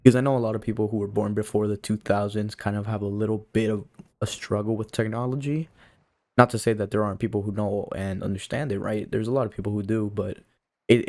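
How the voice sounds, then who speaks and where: Young man, low-pitched, flat and casual. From Cuba. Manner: informal, rambling, peppered with "you know" and "like". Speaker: video creator. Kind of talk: casual rambling commentary to viewers.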